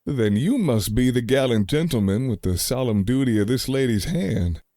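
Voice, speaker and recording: An actor playing a bold, loyal cowboy gentleman in a radio play, with a courtly, florid, folksy manner. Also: medium pitch, expressive, moderate pace, dry recording, studio quality, natural voice.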